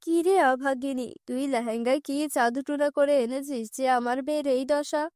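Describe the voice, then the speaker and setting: A woman's voice: high-pitched, steady tone, normal speed, darkish tone, no room sound, clean studio sound, voice pushed down, close to an everyday voice. A woman voicing a harsh, scolding mother in a cartoon story.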